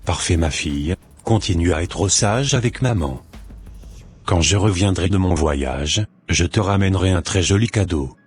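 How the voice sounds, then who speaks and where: Very deep, husky devout father, acted by a man. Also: lively intonation, moderate pace. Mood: caring. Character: caring, devout father advising his daughter. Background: faint music.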